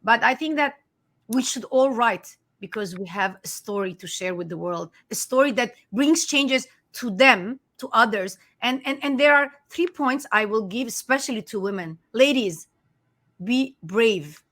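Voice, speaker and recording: A woman's voice: a little high, animated, unhurried, a bit bright. A woman whose first language is Armenian, an author and life coach, talking earnestly in an interview with frequent restarts. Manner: earnest, emphatic, many restarts. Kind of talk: conversational interview.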